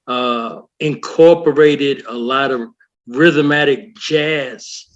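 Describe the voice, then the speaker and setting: A man adding slow, measured comments in a casual video call chat. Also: mid-range voice, lo-fi sound.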